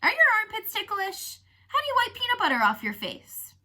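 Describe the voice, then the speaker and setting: A woman mimics small kindergarten children in a storybook. The voice jumps up high and turns very bright. The delivery is childish and exaggerated, nosy and blunt. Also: huge pitch swings, a little fast, full character voice. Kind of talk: storybook character reading.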